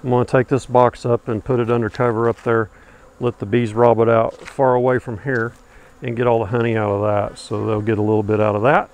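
A man's voice: mid-range voice, little pitch movement, fairly quick, darkish tone. A man, a beekeeper talking casually and folksily to the camera while he works outdoors. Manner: easygoing, folksy, thinking aloud with fillers. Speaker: beekeeper vlogger. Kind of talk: casual narration while working. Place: outdoors in a wooded bee yard. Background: music and buzzing bees.

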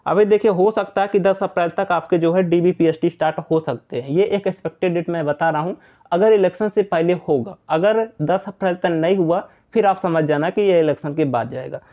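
A man's voice: high voice, a little fast. A man giving an informal, repetitive, reassuring explainer to viewers as an exam news presenter. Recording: tinny, phone-like narrow band.

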